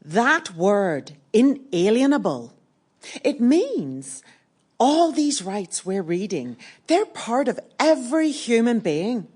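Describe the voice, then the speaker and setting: Earthy woman activist: higher voice, very lively pitch, measured stage storytelling. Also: slightly rough, full character voice. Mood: earnest. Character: earthy, wry Northern Irish woman activist telling her story. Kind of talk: documentary stage play monologue.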